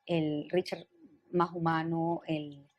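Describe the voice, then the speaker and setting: A woman's voice: low voice, level tone, slow pace. A woman with a Venezuelan accent conducting a broadcast interview as the interviewer.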